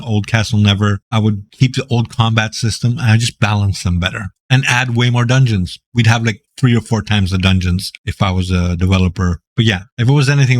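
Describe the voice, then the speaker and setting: Laid-back raspy man from Greece. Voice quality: deep, raspy. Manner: laid-back, joking, rambling. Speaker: gaming YouTuber. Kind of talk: casual Q&A reading viewer questions.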